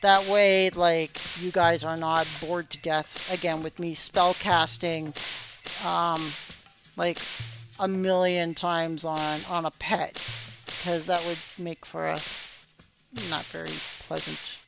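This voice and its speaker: A woman streaming a game tutorial in casual chat, her voice going hoarse after hours of talk, rambling and apologetic with many fillers. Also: on the low side, little pitch movement, takes it slowly, noisy background. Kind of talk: casual live-stream commentary.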